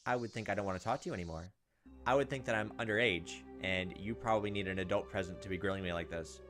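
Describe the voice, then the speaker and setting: A man's voice: deep voice, fast talker. A man hosting a let's play, reading game text aloud and adding wry, casual commentary. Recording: faint game music behind the voice.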